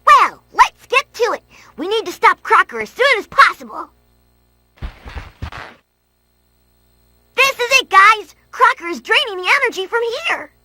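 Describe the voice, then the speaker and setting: A woman's voice: extremely high pitch, very animated, normal speed, sharp tone, no echo, exaggerated voice. A woman voicing a plucky, eager boy hero in a cartoon video game, with a breezy kid-like manner. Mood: determined.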